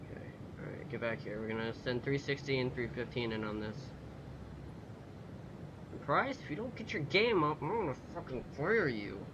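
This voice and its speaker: Man, casual gaming host, lively swinging intonation, muttered swearing, reads aloud, noisy rumbling home recording. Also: normal speed, dull audio. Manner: muttering to himself, profane, reading on-screen text aloud. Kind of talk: casual gameplay commentary with reading aloud.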